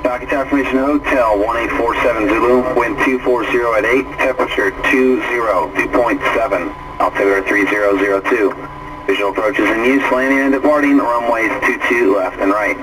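A man reading a recorded airport information broadcast in a flat, formulaic way. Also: medium pitch, brisk. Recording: distant noisy radio broadcast.

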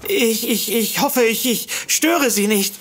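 An actress playing a fussy, apologetic secretary in a comedic audio drama, stammering and rambling nervously. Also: female voice, on the high side, takes it slowly, slight background noise. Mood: nervous, giggly. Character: flustered, apologetic, fussy secretary seeking help.

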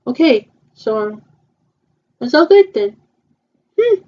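A young woman in her twenties, improvising a roleplay dialogue in a hesitant, halting way, with many repeats and fillers. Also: sits high, sing-song. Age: 20s. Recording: muffled lo-fi home recording.

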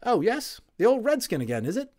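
A man's voice: sits high, wildly swooping pitch, fairly quick, darkish tone, voice pushed up, heavily acted. A man voicing a talkative Cockney First World War army medic in a storybook reading. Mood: chatty. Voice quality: chatty, rough-and-ready working-class speech.

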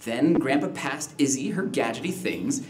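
A man narrating a rhyming storybook live with an expressive, measured read. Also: medium pitch, a little hiss or hum. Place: live stage in a library room. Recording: echoey live room with music. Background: guitar music.

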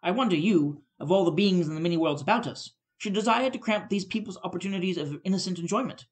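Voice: mid-range voice, quick pace, natural voice. A reader voicing old Scrooge-like miser, pressing. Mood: questioning. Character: old male miser, probing and argumentative.

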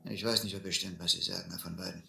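An actor playing a bitter, vengeful ship's cook in a radio play, brooding and hateful, with a gravelly voice. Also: male voice, low voice, fairly even intonation, fast talker, bright, forceful tone, exaggerated voice. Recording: echoey old recording with faint noise.

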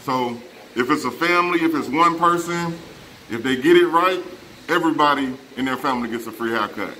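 A man's voice: mid-range voice, brisk, sharp tone, a little hiss or hum. A middle-aged man hosting a giveaway as a barber, chatting casually and playfully with customers and often repeating himself. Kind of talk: casual vlog chat with customers. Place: barbershop, indoors. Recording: echoey room with shop chatter.

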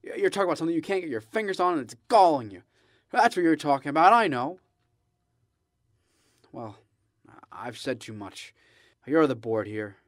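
An actor playing an earnest, impassioned young small-town man in a radio drama, stammering and halting with emphatic delivery. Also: on the high side, lively intonation, dry recording, studio quality, close to an everyday voice. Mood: indignant, impassioned.

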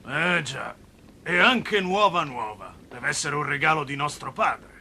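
A man voicing a brooding, resentful elder brother, a shepherd, in an animated film dub. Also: on the high side, measured pace, full character voice. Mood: sarcastic, brooding. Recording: noisy ambience behind cartoon dialogue.

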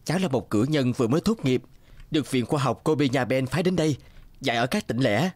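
Young male scholar, acted by a man, cartoon fairy tale, mid pitch, earnest, polite and admiring. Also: expressive, brisk, a little mellow, close to an everyday voice. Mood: eager. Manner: earnest, respectful.

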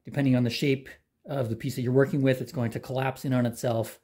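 A middle-aged man walks through a repair step by step. His voice is mellow and a little husky, with an easy, unhurried delivery. He sounds like he is chatting while his hands stay busy. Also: mid-range voice. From Canada. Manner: relaxed, plain-spoken, talks while working. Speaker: DIY channel host. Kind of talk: hands-on how-to demonstration. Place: garage workshop.

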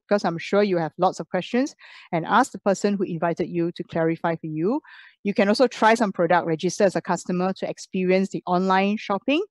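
A woman's voice: on the low side, darkish tone, dry recording. A woman giving an online business presentation, persuasive and full of rhetorical questions.

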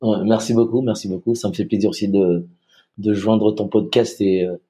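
A man's voice: lowish voice, moderate pace. A man in his forties from Madagascar, a retired soldier, talking in an easygoing way as a podcast guest over a video call. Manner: relaxed, easygoing, repeats words as he starts. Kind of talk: casual interview chat. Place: home room, video call.

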